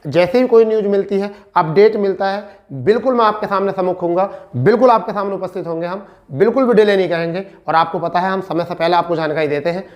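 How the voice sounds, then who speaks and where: A man's voice: high voice, fast talker, lo-fi sound. Man teaching, repeating key points. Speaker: teacher giving exam updates to students. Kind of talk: informal online class, addressing students.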